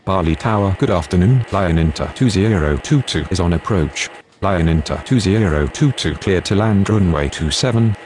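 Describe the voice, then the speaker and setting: A very low male voice delivers clipped aviation radio phrases in a formal, businesslike way, as a man acting a pilot or controller in a simulator game. There is faint noise behind the voice. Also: expressive, normal speed, darkish tone. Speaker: radio voice of airline pilots and air traffic controllers.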